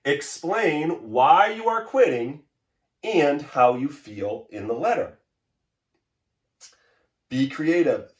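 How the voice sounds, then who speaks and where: Male primary teacher for kids' read-aloud and lesson: lively intonation, encouraging, instructive, roomy recording. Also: medium pitch. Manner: instructive, encouraging, addresses children directly. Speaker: elementary school teacher. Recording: roomy, echoey indoor sound.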